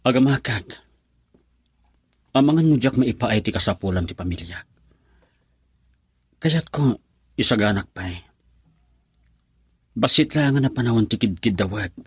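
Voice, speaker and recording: A man's voice: medium pitch, expressive, unhurried, pauses often, slightly rough, no room sound, clean studio sound. A man acting a lovelorn, earnest young man whose sweetheart left him, pleading his case in an Ilocano radio drama. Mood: pleading, defensive.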